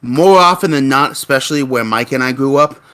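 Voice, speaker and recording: A man's voice: mid-range voice, brisk, pushed and bright, no echo. A man bantering casually on a livestream, wisecracking and swearing. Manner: wisecracking, profane. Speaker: livestream panelist.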